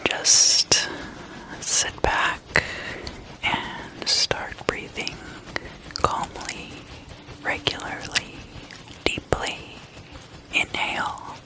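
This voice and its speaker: A person whispering a guided meditation in a breathy, unvoiced voice, giving instructions in halting, spaced-out phrases. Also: bright, forceful tone, poor audio.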